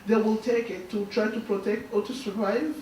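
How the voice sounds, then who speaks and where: A young woman from Burundi, whose first language is Swahili, speaks softly and haltingly as a guest. Her voice comes from across an echoey school hall, picked up by a distant microphone with faint background noise. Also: medium pitch, steady tone. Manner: soft-spoken, halting, laughs often. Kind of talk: answering audience questions.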